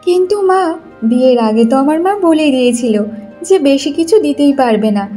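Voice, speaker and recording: Casting: woman as timid, pleading young daughter-in-law for a cartoon drama, high-pitched and submissive. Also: animated, brisk, mellow, faint music behind. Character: meek, pleading young bride from poor family. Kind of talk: cartoon moral story acting.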